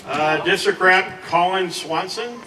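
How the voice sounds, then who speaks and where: A man's voice: sits high, measured pace. A man emceeing an outdoor memorial ceremony, handing out certificates with chatty, joking asides. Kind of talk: casual informal announcing. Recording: distant mic with crowd around.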